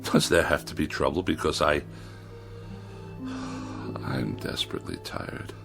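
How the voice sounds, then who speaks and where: A haughty, irritable critic from an old television drama. His voice is gravelly and swings widely in pitch. He picks ornate words with precision, a lonely, paranoid snob, with music underneath. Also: lively intonation, measured pace, heavily acted. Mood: anxious, desperate. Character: pompous, irritable male magazine critic, lonely and increasingly paranoid. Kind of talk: 1960s television drama acting.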